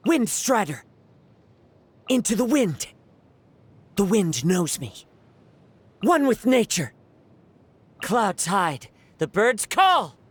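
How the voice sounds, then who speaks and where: Serene young swordsman poet, soft-spoken and gentle, game character. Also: high-pitched, very animated, slow pace, long pauses, husky, heavily acted. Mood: battle cries. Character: calm young male wandering samurai poet.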